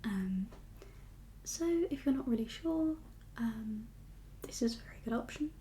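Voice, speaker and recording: A woman whispers softly as a kindly doctor in an ASMR roleplay. Her delivery is slow and reassuring, with a dark, mellow tone. A faint noise sits behind her voice. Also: fairly high pitch, lively intonation. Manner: gentle, unhurried, reassuring professional. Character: kindly doctor for undead patients. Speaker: ASMR performer playing a doctor.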